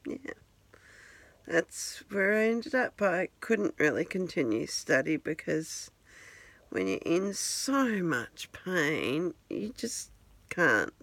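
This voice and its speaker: A woman's voice: low-pitched, a bit bright, no echo. A woman, chatting to her phone camera at home in a rambling, self-deprecating way. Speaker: vlogger. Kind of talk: casual talk to camera. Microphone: handheld phone.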